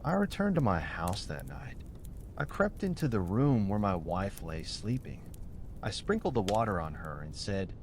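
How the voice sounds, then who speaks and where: Man reading as earnest old storyteller, lively pitch. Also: normal speed, slight background noise. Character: old man telling his mule-wife tale, wronged, earnest.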